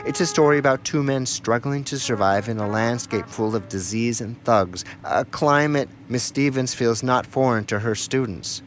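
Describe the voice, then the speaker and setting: A man reading scripted narration for a radio documentary feature. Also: medium pitch.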